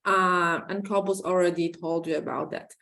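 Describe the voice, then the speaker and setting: A woman, hoarse from illness, giving an online conference presentation over a video call in halting English full of fillers. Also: on the low side, steady tone, pushed and bright. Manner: halting, many fillers and restarts. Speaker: conference speaker.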